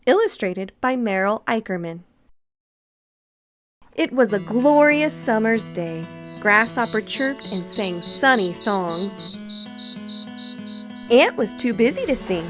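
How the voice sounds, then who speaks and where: A woman storyteller narrating a children's picture book in an expressive, deliberate way. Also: fairly high pitch, soft background music.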